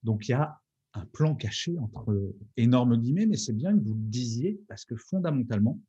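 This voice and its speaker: A man's voice: mid-range voice, lively intonation, moderate pace, warm tone, slightly rough, muffled recording. A man in his forties hosting a podcast interview, enthusiastic and a little theatrical. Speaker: podcast host.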